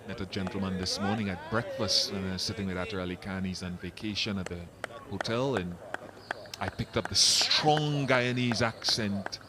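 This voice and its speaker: A man giving relaxed, chatty live cricket commentary, explaining the game's finer points. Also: on the low side, moderate pace, heavy background noise. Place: commentary box at a cricket ground.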